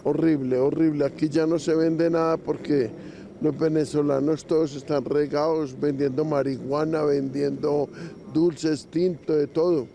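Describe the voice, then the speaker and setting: A man's voice: fairly high pitch, fairly even intonation, measured pace, creaky, mellow, slight background noise. A man working as a street vendor, complaining emphatically in a street interview.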